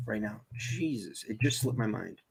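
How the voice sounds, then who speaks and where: Animated man chatting on a sports stream. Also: on the low side, moderate pace, vocal fry, slightly rough. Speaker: sports channel host. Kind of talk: casual livestream sports chat.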